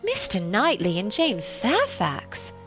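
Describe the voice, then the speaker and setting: Female narrator as young period heroine, opinionated, easily appalled, exclamatory, very lively intonation, faint music behind. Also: mid-range voice, slow, exaggerated voice. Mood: shocked, indignant. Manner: emphatic, exclamatory, formal period phrasing.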